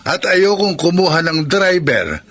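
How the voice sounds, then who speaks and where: An actor with a Filipino accent playing a dismissive, judgmental employer, brusque, in a radio drama. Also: male voice, fairly high pitch, big pitch swings, a little slow, a bit bright, heavily acted. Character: Pablo, dismissive, judgmental older male employer.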